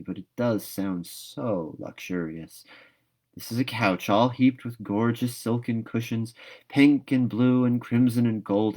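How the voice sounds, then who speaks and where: A man's voice: on the low side, darkish tone, lightly acted. Man reading as a gushing, dreamy young orphan girl. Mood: grandly imaginative. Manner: gushing, wistful, dramatic. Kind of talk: storybook read-aloud.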